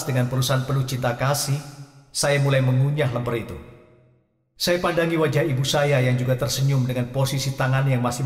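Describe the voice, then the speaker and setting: A man's voice: mid-range voice, natural voice. An actor playing Ken, an earnest, devoted grown son who narrates his own story in a heartfelt, solemn way in an audio drama. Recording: roomy echo with long reverb, distant, music behind.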